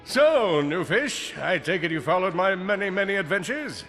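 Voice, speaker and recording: An actor playing a vain, self-pitying space superhero in a video game, pompous and dramatic in delivery. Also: male voice, high voice, expressive, moderate pace, a bit bright, faint music behind. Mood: grandiose.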